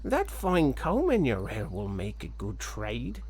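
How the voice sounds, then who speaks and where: A man voicing a sly old peddler woman in a squeaky, coaxing storybook voice. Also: wildly swooping pitch, slow pace, a little mellow. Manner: coaxing, wheedling.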